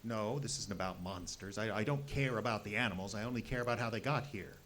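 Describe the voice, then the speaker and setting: Man playing a frantic, stammering hermit scientist, low-pitched. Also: fast, fairly bright tone, close to an everyday voice. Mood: indignant. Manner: stammering, flustered, pleading, repeats words. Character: frantic, eccentric hermit scientist guarding his secret research. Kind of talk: live audio drama acting. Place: live performance before an audience. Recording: noisy live stage recording.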